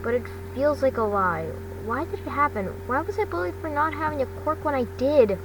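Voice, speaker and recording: A woman's voice: high-pitched, lively intonation, fairly quick, voice pushed up. Woman voicing an anxious, earnest teenage boy, distant mic. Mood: hurt, confiding. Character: vulnerable, anxious teenage boy hero student. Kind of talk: fan fiction audio reading. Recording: distant mic, clearly noisy background.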